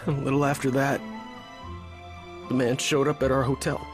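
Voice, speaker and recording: A man's voice: medium pitch, flat delivery, normal speed, close to an everyday voice. A man playing a respectful, earnest and humble youth in a video game, speaking deferentially. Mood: regretful, earnest. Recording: music under the dialogue.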